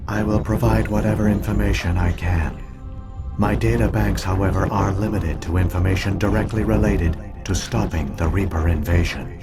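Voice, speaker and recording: A man voicing an ancient alien artificial intelligence in a video game, speaking formally and deliberately with a calm, mournful, logical gravity. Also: sits low, music in the background. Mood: measured, informative. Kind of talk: measured expository video game acting.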